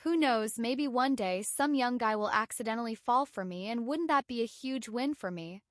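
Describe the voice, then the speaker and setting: A woman's voice: a little high, moderate pace, dry recording, clean studio sound. A woman in her thirties narrating a clip in a chatty, self-assured and playful way. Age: 30s.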